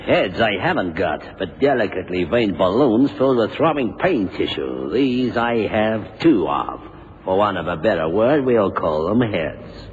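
An actor playing a wry, rambling, hungover painter in an old radio drama. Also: male voice, fairly low pitch, big pitch swings, unhurried. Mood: hungover, sardonic. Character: hungover self-deprecating boastful bohemian painter, confessed killer. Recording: muffled 1970s radio drama, hiss, reverb.

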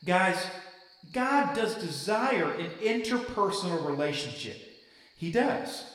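An insistent preacher man, highish voice, big questions, super echoey and far from the mic. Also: big pitch swings, slow pace, a bit bright, exaggerated voice. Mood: fervent. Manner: emphatic, rhetorical questioning. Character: fervent, insistent male church camp preacher. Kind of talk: sermon. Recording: echoey hall, distant from the mic.

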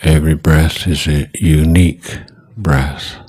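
A man with an extremely low, husky voice leads a guided meditation. He speaks very slowly and deliberately, setting down short phrases with long pauses between them. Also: steady tone, darkish tone. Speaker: Buddhist monk and meditation teacher.

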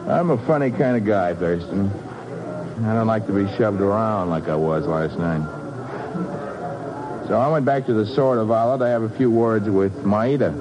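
Low-voiced, swaggering tough guy played by a man. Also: animated, normal speed, crowd noise, lightly acted. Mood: cocky. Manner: breezy, slangy, swaggering. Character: cocky, hard-boiled American tough-guy airbase construction man. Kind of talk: 1950s radio drama acting. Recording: muffled, noisy 1950s radio recording.

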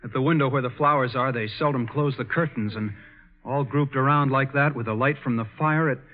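A man's voice: medium pitch, fairly quick, close to an everyday voice. A man playing a polite, lonely teenage pupil, hesitant and deferential, in 1940s radio drama style. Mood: wistful. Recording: thin, tinny old radio recording.

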